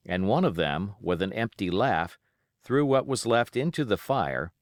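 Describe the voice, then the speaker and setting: Male narrator for literary adventure audiobook, low-pitched, highly varied intonation, dry close sound. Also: no room sound.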